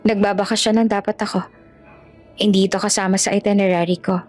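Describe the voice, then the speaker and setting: A woman's voice: medium pitch, level tone, quick pace, a little mellow, soft background music, lightly acted. A woman voicing a proud, grumpy rich male executive with a curt manner in a romance audio story. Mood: subdued. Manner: curt, haughty.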